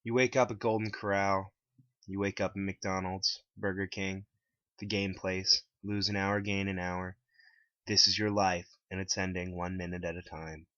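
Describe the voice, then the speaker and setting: A man reading his own story aloud as a scripted dramatic narration in a deep voice. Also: level tone, normal speed, pushed and bright, no echo. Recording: dull lo-fi, dry close recording.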